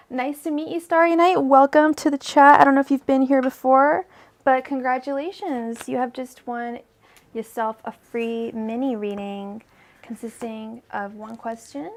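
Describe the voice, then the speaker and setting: A young woman hosting a live tarot stream, chatting casually with viewers and saying her voice feels weak today. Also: high voice. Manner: chatty, filler-heavy, addresses viewers by name. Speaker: tarot reader hosting a livestream. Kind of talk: casual livestream chat.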